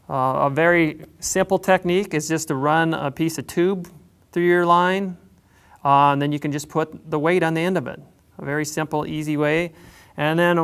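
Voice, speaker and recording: A man explaining how to tie a drift fishing setup, in a plain, unhurried way with frequent pauses. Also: a little high, a bit bright, clean tone. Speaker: fishing instructor. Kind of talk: instructional demonstration.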